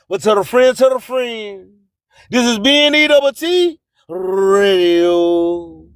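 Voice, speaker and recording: A man's voice: extremely high pitch, clear, resonant tone, no echo. A man, recorded dry and close. He takes his time, delivering a motivational radio monologue like a preacher, leaning on phrases and repeating them for emphasis. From US Gulf Coast. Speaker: radio host.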